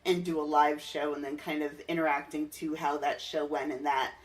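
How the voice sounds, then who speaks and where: A woman's voice: low-pitched, little pitch movement, moderate pace, recorded from a distance. A woman hosting a podcast in a casual, enthusiastic, fannish and giggly chat. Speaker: podcast host.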